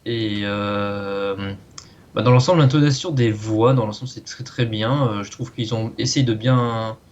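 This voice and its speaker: A man's voice: lowish voice, measured pace. A man co-hosting a video review with casual, conversational commentary. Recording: dull, lo-fi microphone sound.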